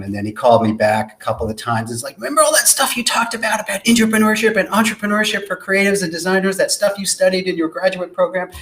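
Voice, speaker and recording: A man of middle pitch answers interview questions as a podcast guest. He speaks softly and calls himself a low talker. His answers are measured and articulate, and he often builds them up as lists. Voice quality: low, soft-spoken.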